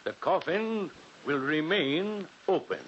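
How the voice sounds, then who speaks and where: Formal doctor, muffled old radio. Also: male voice, fairly high pitch, lively intonation, slow pace. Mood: grave, formal. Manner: formal, official pronouncements. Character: formal, scrupulous male physician. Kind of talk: 1940s radio horror acting. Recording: muffled, hissy 1940s radio recording.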